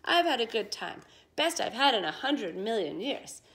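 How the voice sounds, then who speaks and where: A woman's voice: on the high side, sing-song, moderate pace, a bit bright. A woman voicing a friendly, agreeable male dinosaur from a storybook in a courteous, cheerful manner. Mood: cheerful, fond. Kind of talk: children's storybook read-aloud.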